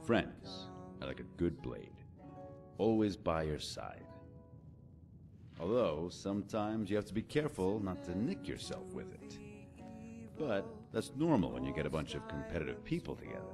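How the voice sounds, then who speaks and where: A man acts out a thoughtful, genial poet-bard from a fantasy video game. His baritone is extremely low with a gravelly edge, and it moves with lively, expressive melody as he muses in an easygoing way. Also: a little slow, pauses often, over music, heavily acted. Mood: musing. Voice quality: deep baritone. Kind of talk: scripted fantasy game dialogue.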